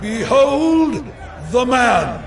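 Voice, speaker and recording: An actor playing an exasperated, commanding Roman governor with a drawn-out, declamatory delivery. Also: male voice, very high-pitched, big pitch swings, creaky, crowd noise, full character voice. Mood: proclaiming. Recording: music bed under the voice.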